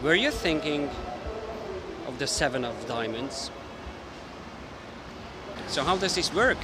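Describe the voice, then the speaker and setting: A man's voice: fairly high pitch, lively intonation, slow pace. Hungarian-accented man, busy street noise. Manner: explanatory, thinking aloud. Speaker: mentalist. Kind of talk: casual explanation to camera. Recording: street noise with passing traffic.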